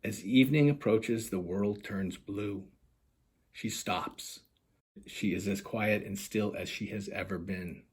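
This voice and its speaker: A man reads a children's story in a fairly low register. His voice has a husky grain, and he narrates in the manner of a storytime reading. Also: takes it slowly. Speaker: author reading own picture book.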